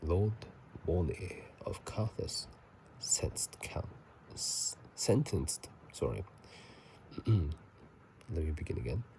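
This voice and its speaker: A man speaking in a soft ASMR whisper and murmur, casual and halting, recorded on a phone microphone. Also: sits low, expressive, creaky. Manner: halting, casual, self-correcting. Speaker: channel host. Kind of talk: whispered ASMR game commentary. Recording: faint noise, phone microphone.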